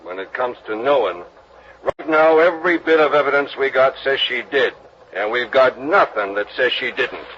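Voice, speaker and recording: A man's voice: mid-range voice, moderate pace, close to an everyday voice. Guy doing a wry tough insurance investigator, old radio drama, plain mid voice, muffled sound with a hiss under it. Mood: probing. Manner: dry, wry, clipped questioning. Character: hard-boiled male insurance investigator, dogged. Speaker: lead and narrator. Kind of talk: 1950s radio drama acting.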